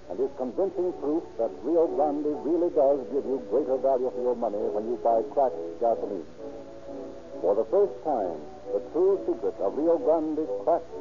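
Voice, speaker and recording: Male 1930s American radio announcer, formal sales delivery, mid-pitched, thin vintage recording with music behind. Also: muffled recording.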